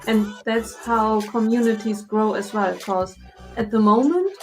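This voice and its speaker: A woman co-hosting a livestream chat, speaking slowly with many hesitant fillers. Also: on the high side, darkish tone, soft background music. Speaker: co-host.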